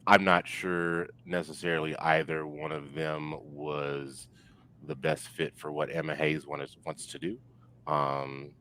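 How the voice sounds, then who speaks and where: A man giving casual sports analysis as a podcast guest, halting often and leaning heavily on filler words. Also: a bass voice, fairly bright tone. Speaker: podcast guest, football pundit.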